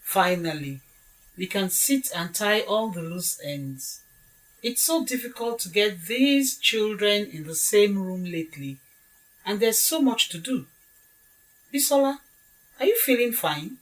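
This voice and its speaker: A woman's voice: medium pitch, lively intonation, unhurried, recorded from a distance, natural voice, low-quality recording. A woman acting a polite, socially commanding mother of a groom in an audio drama. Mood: gracious, chatty.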